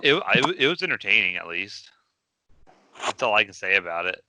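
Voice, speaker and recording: A man's voice: lowish voice, very animated, moderate pace, pushed and bright, a little hiss or hum, low-quality recording. A man co-hosting a casual podcast about video game movies, rambling with many fillers and restarts. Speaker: podcast co-host. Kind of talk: casual chat.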